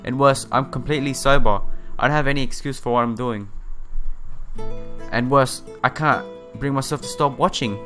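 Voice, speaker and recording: A man with a bright, forceful voice reads a visual novel aloud for a gameplay video. He is theatrical and slips into casual asides and exclamations. Light guitar music plays behind him. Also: medium pitch, fairly even intonation, moderate pace. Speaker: let's player.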